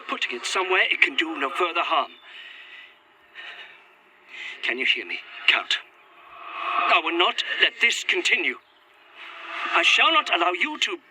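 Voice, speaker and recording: Through clear recorder noise comes an anguished gentleman of the Victorian age. His diction is formal and old-fashioned, and his delivery swings widely as he wavers between fear and resolve in a horror audio drama. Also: a little high. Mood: desperate, angry. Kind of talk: found-footage audio drama acting. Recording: noisy field recorder.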